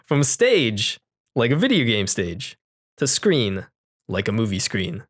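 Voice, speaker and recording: A man's voice: mid-range voice, sing-song, slow, fairly bright tone. A man hosting a podcast, chatting about films in an informal, jokey and rambling way. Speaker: podcast host. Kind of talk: casual film discussion chat.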